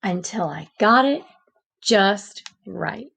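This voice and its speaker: A woman voicing a gentle, anxious but persevering egg who narrates a picture book, with deliberate, expressive pauses. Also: medium pitch, creaky, husky, heavily acted. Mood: hopeful. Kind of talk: picture book read-aloud.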